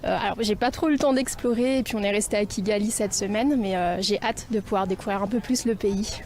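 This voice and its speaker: A woman's voice: medium pitch, a little fast, a little mellow, a little hiss or hum. A woman answering spontaneously in an interview as a volunteer educator, hesitating often with fillers. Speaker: volunteer French-teaching expert, interviewee.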